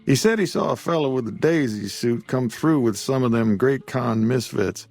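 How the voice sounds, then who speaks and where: A man's voice: mid-range voice, animated, normal speed, a little mellow, faint music behind. A man voicing a weary old frontier shopkeeper who talks in a drawl. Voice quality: gravelly drawl. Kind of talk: video game dialogue.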